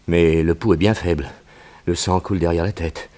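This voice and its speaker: An actor playing an earnest, agitated laboratory assistant in a 1960s French radio drama, polite and anxious. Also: male voice, low voice, fairly even intonation, moderate pace, darkish tone, close to an everyday voice. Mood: worried.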